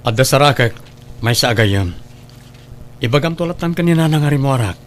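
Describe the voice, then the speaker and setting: A man's voice: medium pitch, lively intonation, brisk, a little hiss or hum, close to an everyday voice. A man with a Filipino Ilocano accent playing an easygoing, considerate young man in an audio drama. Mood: casual. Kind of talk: Ilocano radio drama acting.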